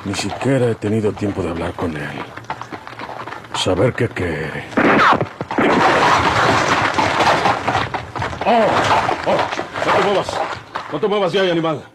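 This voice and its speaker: A bold young ranch outlaw in a vintage Mexican radio play. His voice sits low, rustic and emphatic, with a rural Mexican accent and a hot temper. The old recording is thick with noise. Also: very animated, normal speed, long pauses. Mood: musing aloud. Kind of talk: golden-age Mexican radio drama acting.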